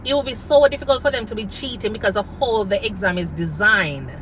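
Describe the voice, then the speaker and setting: A woman's voice: medium pitch, a little fast, a bit bright, slight background noise, rough recording. A woman from Jamaica with a Jamaican accent, a nurse giving earnest advice in a casual vlog and slipping into patois phrases. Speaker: nurse and nursing coach. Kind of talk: casual vlog talk to camera.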